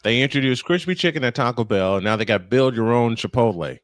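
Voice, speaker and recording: A man's voice: medium pitch, lively intonation, brisk, no echo. American man calling baseball, close dry sound. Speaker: play-by-play commentator. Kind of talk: live baseball commentary mixed with casual banter.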